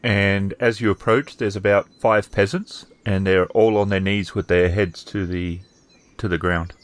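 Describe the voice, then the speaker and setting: A man narrating a tabletop game as game master, improvising with halting, hesitant phrasing. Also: sits low, steady tone.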